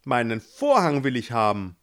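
A man voicing an angry older woman with a carpet beater who scolds loudly. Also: huge pitch swings, normal speed. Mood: scornful. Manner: scolding, shrill.

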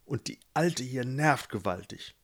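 A man's voice: medium pitch, animated, a little slow. A man voicing a haughty, scheming prince who is the brother of the hero. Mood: impatient. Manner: haughty, impatient.

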